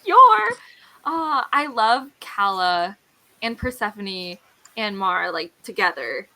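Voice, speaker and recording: A woman hosting a casual live book discussion, giggly and animated as she reacts. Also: mid-range voice, slow, rough recording. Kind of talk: casual group chat.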